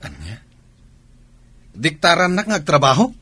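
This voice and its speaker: A man playing a lazy, scheming married younger brother in a Filipino radio comedy-drama, with a husky voice and a Filipino accent. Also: on the high side, huge pitch swings, normal speed, sharp tone, no echo, heavily acted. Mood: grumbling.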